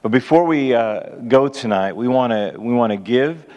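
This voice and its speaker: A loud-voiced man as host pastor, making halting announcements to his congregation. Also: medium pitch, expressive, highs cut off. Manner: halting, many "uh" fillers. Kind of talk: church announcements. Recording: reverberant church hall.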